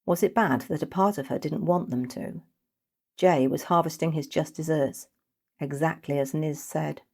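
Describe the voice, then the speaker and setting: Low-voiced woman reading a story. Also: normal speed, vocal fry, a little mellow, husky. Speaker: author reading own short story. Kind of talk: scripted storybook narration.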